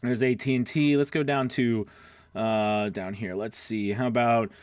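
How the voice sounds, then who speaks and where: A man's voice: medium pitch, steady tone, unhurried, a little mellow, clean tone, no room sound. A man coaching voice-over in a casual, chatty tutorial style, with emphatic, reassuring explanations on a close microphone. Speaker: voice-over coach and show host. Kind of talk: casual tutorial talk with demo script reads.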